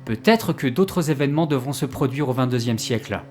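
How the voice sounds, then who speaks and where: A man's voice: medium pitch, animated, a little fast, darkish tone, faint music behind, lightly acted. A man playing a gloomy, wry time traveller in an audio drama, easily exasperated and given to hesitant explanations. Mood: grave, resigned. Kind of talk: French audio drama acting.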